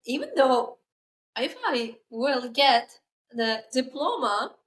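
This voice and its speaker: A young woman in her final year of university hosting a podcast, asking questions in halting, filler-heavy English with eager agreement. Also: high-pitched, animated, a little hiss or hum. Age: young adult. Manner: enthusiastic, halting non-native English with many fillers. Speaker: podcast host. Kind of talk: casual interview chat.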